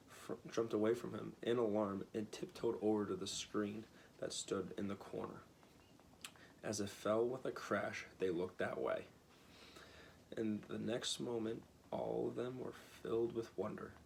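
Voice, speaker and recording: A man's voice: lowish voice, creaky, slight background noise, poor audio. A man giving a dramatic reading of a story as narrator.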